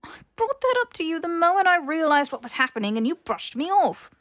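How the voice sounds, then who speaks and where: A woman's voice: extremely high pitch, sing-song, fairly quick, fairly bright tone, voice pushed up, exaggerated voice. A woman voicing a haughty, petulant young aristocratic man in an audiobook, arch, theatrical and indignant.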